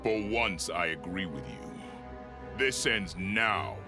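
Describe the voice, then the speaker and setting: A man playing a gruff, confident creature hero in a video game, terse and tough in his delivery. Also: low-pitched, lively intonation, slow. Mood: stern, confrontational. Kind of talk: video game cutscene acting. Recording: loud music under the voice.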